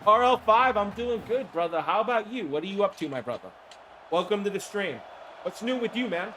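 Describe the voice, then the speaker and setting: Hoarse high-voiced stream commentator. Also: male voice, brisk, soft background music. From Florida. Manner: chatty, greets viewers by name, excited bursts on big plays. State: hoarse from the flu. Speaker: streamer calling a simulated football game. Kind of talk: live-stream play-by-play commentary.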